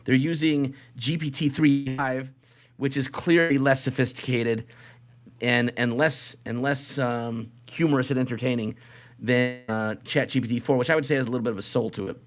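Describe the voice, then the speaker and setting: A man's voice: medium pitch, fairly even intonation, normal speed. A man hosting a casual comedy podcast, talking in a rambling, joking way and commenting as he reads from a list. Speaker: podcast host.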